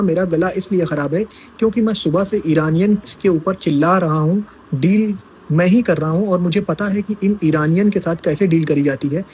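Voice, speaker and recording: A man's voice: a little high, a little mellow, a little hiss or hum. A man giving casual news commentary, talking straight to his viewers as friends and asking rhetorical questions.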